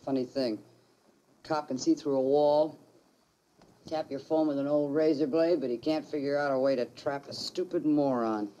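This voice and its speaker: A woman's voice: deep voice, fairly even intonation, moderate pace, dark tone, slight background noise, close to an everyday voice, poor audio. An actress playing a brash woman who talks in slang and coaxes a frightened friend. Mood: scornful. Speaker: film character. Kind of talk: film drama acting.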